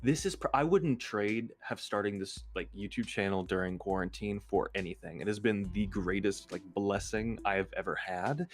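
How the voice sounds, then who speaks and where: A man with a fairly low voice chats as a guest on a livestream. He is earnest and informal, often halting and restarting as he goes. Light music sits quietly behind his voice. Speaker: YouTube creator and stream guest. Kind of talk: casual chat on a livestream.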